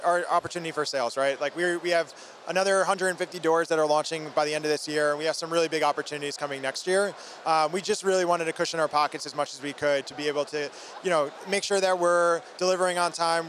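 A man's voice: fairly high pitch, fairly bright tone. A man in his thirties giving an interview as a company founder, talking informally and energetically into a handheld microphone. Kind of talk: trade show interview. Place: busy trade show booth indoors. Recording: faint convention hall background noise.

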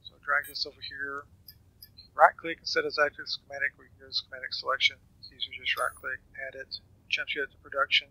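A man with a raspy voice giving a casual, unscripted game walkthrough, hesitant and trailing off as he goes. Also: mid-range voice, flat delivery, quick pace, pushed and bright, no echo, low-quality recording. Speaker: gamer giving a tutorial.

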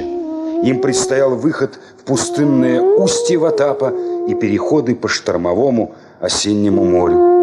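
A man giving solemn, declamatory literary narration in a 1970s Soviet radio drama. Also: medium pitch. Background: orchestral music.

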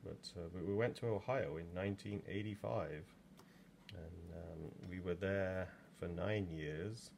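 Deep-voiced older english guy rambling on in his pottery studio, bit of room hum behind. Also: measured pace, gravelly, darkish tone. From England. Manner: rambling, chatty asides with many ums. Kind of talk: casual demo talk while working. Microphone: camera over his shoulder.

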